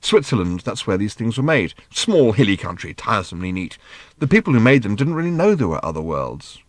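A man reading a storybook as a bewildered, well-meaning middle-aged father who explains things in a halting, fumbling way. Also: lowish voice, sing-song, no echo. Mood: patient, explaining.

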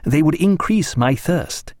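A man narrating plays a reserved doctor in a historical naval audiobook. He speaks with understated courtesy in a somewhat raised pitch, dryly polite, in a close dry recording. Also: expressive, unhurried, a little mellow, dry recording. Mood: polite, wistful.

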